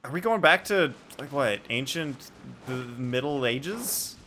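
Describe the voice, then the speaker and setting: A man's voice: medium pitch, animated, takes it slowly, pushed and bright, slight background noise. A young man streaming a game, chatting casually and playfully with viewers and joking as he reacts. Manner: playful, jokey, reads chat aloud.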